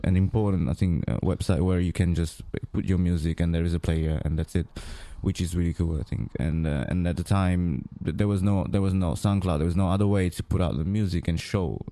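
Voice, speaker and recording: A man from Greece, a music producer guest, speaking haltingly and searching for his words. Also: low-pitched, fairly even intonation, mellow, dry recording. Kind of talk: interview conversation.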